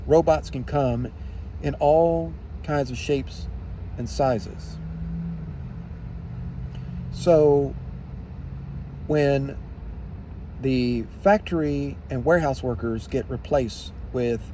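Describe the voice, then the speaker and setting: A man giving an unscripted opinion monologue in deliberate, halting phrases, with emphatic repetition. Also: medium pitch, dark tone, slight background noise, low-quality recording.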